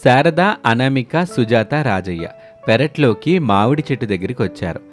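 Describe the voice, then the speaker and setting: A man narrating a scripted animated story as its storyteller, with music under his voice. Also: medium pitch.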